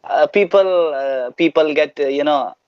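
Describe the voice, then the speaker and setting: A man's voice: on the high side, measured pace, clear, resonant tone, no echo. Gujarati-accented man on a dull, dry call. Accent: Indian English, Gujarati. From India. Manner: hesitant, many restarts and "you know" fillers. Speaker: auto rickshaw driver, channel host. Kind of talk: casual video call conversation. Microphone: video call.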